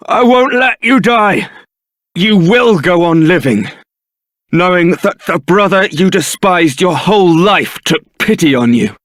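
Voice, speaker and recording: A man's voice: sits high, no room sound. A man playing a suave, sardonic young templar knight and roguish ladies' man in a fantasy video game, with a dry, theatrical delivery. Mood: anguished.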